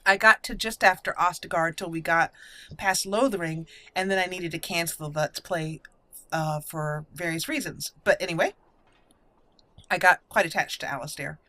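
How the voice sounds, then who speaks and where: A woman's voice: lowish voice, normal speed, sharp tone. A woman hosting a let's play, giving casual commentary as she goes through a video game. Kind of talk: casual gameplay commentary with roleplay.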